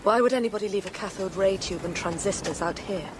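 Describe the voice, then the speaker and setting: A woman's voice: mid-range voice, quick pace, heavy background noise, natural voice. An actress playing a cool, independent Cold War intelligence woman in a radio drama, composed, direct and probing. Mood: curious. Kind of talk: radio spy drama acting.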